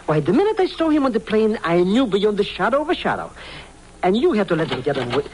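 A man's voice: high-pitched, huge pitch swings, fast talker, a little mellow. An actor playing a fretful, cowardly, wisecracking sidekick who mangles his idioms, in old-time radio drama style. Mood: indignant. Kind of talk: 1940s-50s radio drama acting. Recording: noisy old radio recording with clicks.

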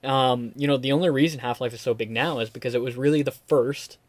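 A man's voice: medium pitch, steady tone, quick pace, no echo. A man hosting a casual podcast chat, talking in a rambling way with lots of fillers. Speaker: podcast host.

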